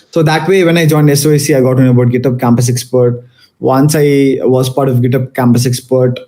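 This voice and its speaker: Young male speaker from Malaysia, mellow tone, mid pitch, casual student-event talk full of "uh"s and restarts. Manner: casual, many fillers and restarts. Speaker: guest speaker.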